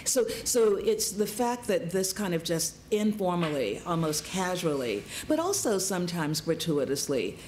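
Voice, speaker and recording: A woman speaking as a curator on a panel discussion in a large conference room, measured and deliberate. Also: on the low side, sing-song, darkish tone, slight background noise.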